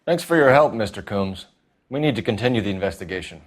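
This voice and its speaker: An actor playing an earnest, by-the-book young police detective in a 1940s American crime game, questioning in a crisp, formal manner. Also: male voice, fairly low pitch, expressive, fast talker, faint music behind. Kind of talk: video game cutscene acting, 1940s American setting.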